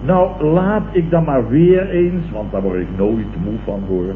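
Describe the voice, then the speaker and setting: A man's voice: fairly high pitch, lively intonation, unhurried. An actor playing a vain, pompous middle-aged businessman who blusters and repeats himself in an old radio comedy. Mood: defensive, jovial. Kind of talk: 1970s Dutch radio comedy acting. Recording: old tinny, noisy, echoey radio recording.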